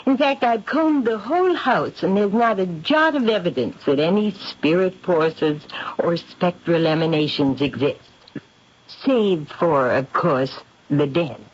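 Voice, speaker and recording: A woman's voice: on the low side, expressive, a little slow, breathy edge. An actress playing a self-assured aristocratic lady psychic, speaking in a dramatic, imperious manner in an old radio drama. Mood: proud, assured. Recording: muffled 1970s radio recording.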